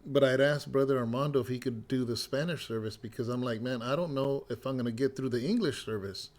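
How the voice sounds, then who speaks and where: A middle-aged man, a pastor, slightly hoarse and raspy as he recovers his voice, telling a loose, rambling story full of fillers in a casual devotional update. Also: medium pitch, brisk, a little mellow. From California.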